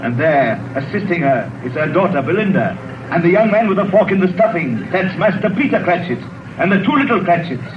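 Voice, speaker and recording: An actor playing a jolly, commanding giant ghost, declaiming in a stately 1930s radio drama style. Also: male voice, sits high, sing-song, fairly quick. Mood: solemn showing. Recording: muffled noisy 1930s radio recording.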